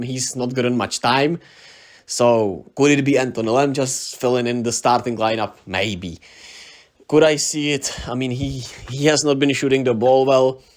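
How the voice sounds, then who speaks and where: Czech-accented man, channel host, relaxed solo sports chat, mid-pitched voice, fillers and "right?" tags. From Czech Republic. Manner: chatty, laughs often, many fillers and tag questions. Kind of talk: casual solo basketball commentary.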